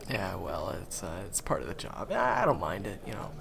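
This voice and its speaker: Man playing a bashful, slow-talking rookie guide, gravelly. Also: low-pitched, full character voice. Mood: modest, chatty. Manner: hesitant, stammering, bashful. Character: friendly, awkward, eager rookie guide to monster hunters. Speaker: audio roleplay voice actor. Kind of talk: audio roleplay, conversational acting to the listener.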